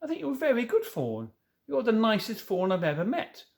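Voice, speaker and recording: Guy doing a high-pitched anxious little girl, stammers, begs, real earnest. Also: wildly swooping pitch, a little fast, vocal fry, exaggerated voice. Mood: reassuring.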